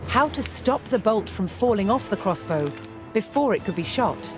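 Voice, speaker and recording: A woman narrating a documentary from a script with measured, dramatic delivery. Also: medium pitch, very animated. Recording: loud music behind. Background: music.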